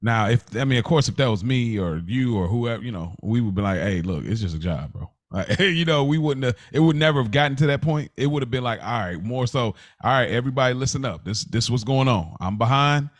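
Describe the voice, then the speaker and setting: A man's voice: mid-range voice, animated, fairly quick, hardly any pauses. Colloquial man, emphatic and repetitive. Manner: colloquial, emphatic, repeats phrases; reflective, empathetic. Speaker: podcast co-host. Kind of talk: casual personal chat.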